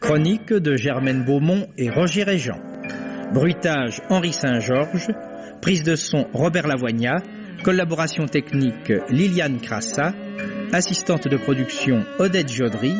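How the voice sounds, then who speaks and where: A man announcing the credits of a radio drama in a formal, deliberate way. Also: on the high side, animated. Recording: dull vintage radio recording with music.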